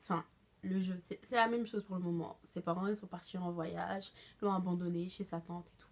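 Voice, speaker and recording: A young woman vlogger giving a casual, expressive reaction commentary full of slang. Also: lowish voice, a little fast.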